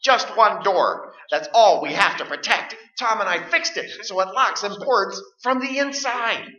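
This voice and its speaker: A dull, echoey stage recording of a radio play. An actor plays a combative middle-aged man with a very sharp, high voice. He is stubborn and scared, and he stammers as he argues. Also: lively intonation, unhurried, heavily acted. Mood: insistent, agitated. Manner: argumentative, agitated, stammering. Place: live stage performance.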